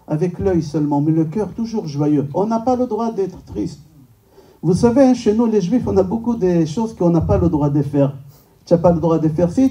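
A man's voice: sits high, warm tone, distant mic, low-quality recording. A male rabbi, a native Hebrew speaker, giving an informal lecture with rhetorical questions, emphatic repetition and joking asides. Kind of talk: informal religious lecture to a live audience. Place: medium-sized indoor synagogue function room.

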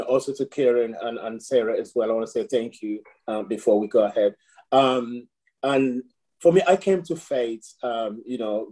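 A middle-aged man from Nigeria, a priest talking over a video call from a room at home. His voice is mellow and relaxed, and he talks in a reflective way, often pausing and restarting. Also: mid-range voice, low-quality recording. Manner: reflective, with frequent fillers and restarts. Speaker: priest and guest.

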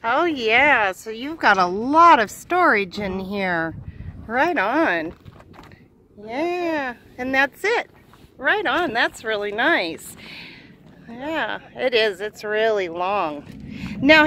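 Woman vlogger, bright forceful voice, enthusiastic, casual interviewing, outdoor handheld recording, faint wind noise. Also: fairly high pitch, sing-song, takes it slowly. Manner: enthusiastic, exclaiming. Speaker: vlogger interviewing a van owner. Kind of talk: casual chat while touring a van. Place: outdoors in open desert, in and beside a van. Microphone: handheld camera or phone. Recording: windy, noisy outdoor camera audio.

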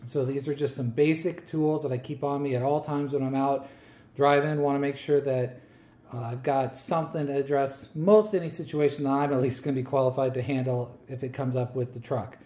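A man casually showing viewers the tools he keeps in his truck, chatty and relaxed, with plenty of ums and uhs. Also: mid-range voice, brisk, roomy sound. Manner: relaxed, chatty, many fillers. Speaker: vlogger. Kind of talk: casual show-and-tell vlog.

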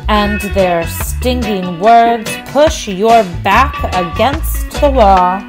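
A woman music teacher reading a rhyming children's picture book aloud in a measured, deliberate way. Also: mid-range voice.

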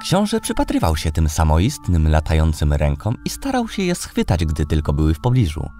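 A man reading a scripted fairy tale as an audiobook narrator, in an expressive storytelling manner. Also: rumbling low, normal speed, slight background noise. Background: background music.

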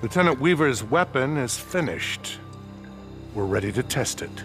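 An actor playing an older, pious militia colonel in a video game, measured, formal and fatherly. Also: medium pitch, big pitch swings, natural voice. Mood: formal, commanding. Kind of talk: video game cutscene acting. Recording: game cutscene with music behind.

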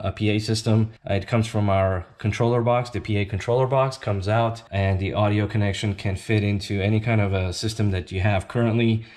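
Low-voiced man giving an install tutorial. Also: a little mellow. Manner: plain step-by-step explaining. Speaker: company representative presenting an install tutorial. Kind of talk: informal product demonstration and instructional explanation. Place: indoors at a tabletop demonstration bench.

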